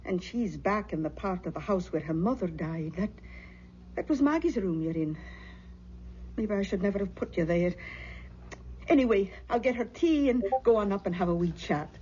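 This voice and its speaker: An actress playing a protective, tender older aunt in an old-time radio drama. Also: female voice, mid-range voice, animated, moderate pace, warm tone, a little hiss or hum, lightly acted. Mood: kindly, soothing. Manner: fretful, tender. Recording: dull old radio recording.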